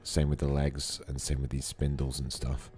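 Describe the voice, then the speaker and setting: A man with a slightly hoarse voice from a cold, thinking aloud with fillers as he streams a casual modeling tutorial at his computer. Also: a bass voice, monotone, normal speed, a little mellow. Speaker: 3D artist streaming a modeling session. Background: faint background music.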